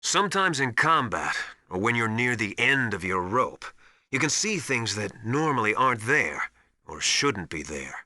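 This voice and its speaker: Tough soldier mentor, sharp intense tone, animated intonation, emphatic instruction, video game briefing, mid-pitched man's voice. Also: no room sound, clean studio sound. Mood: grave, philosophical. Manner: authoritative, emphatic, deliberate instruction. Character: stern veteran soldier mentor, tough drill-master advising an operative. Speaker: military survival instructor. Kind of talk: scripted video game voice acting, instructional advice.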